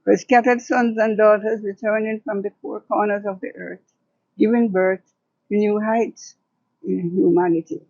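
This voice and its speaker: A woman's voice: mid-range voice, steady tone, moderate pace, vocal fry, darkish tone, no echo. An older woman, speaking as a guest and poet in dull, lo-fi audio. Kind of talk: reminiscing in conversation and reciting own poetry.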